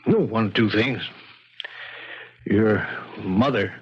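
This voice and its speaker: A man playing a tough, shrewd police lieutenant in a 1970s radio drama, husky, blunt and deliberate, on a muffled recording. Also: lowish voice. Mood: casual, probing.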